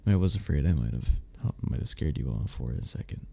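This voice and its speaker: A man playing a flirty, gentle cuddle club member in an intimate romantic ASMR roleplay, speaking softly with a breathy, gravelly voice that often drops toward a whisper. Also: extremely low pitch, expressive, heavily acted. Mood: warm, reassuring. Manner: soft, slow, teasing, with frequent chuckles.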